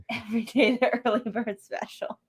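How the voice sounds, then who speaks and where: A woman's voice: a little high, fairly even intonation, normal speed, husky. Playful, teasing woman co-host. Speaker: livestream co-host. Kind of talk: casual livestream chat, greeting viewers.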